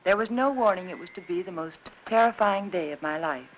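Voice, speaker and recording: An actress playing an anxious, weary young housewife in the style of a 1950s film noir. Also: female voice, mid-range voice, animated, a little fast, a little hiss or hum, lightly acted, low-quality recording. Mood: weary, reflective. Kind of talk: 1950s film noir acting, first-person narration.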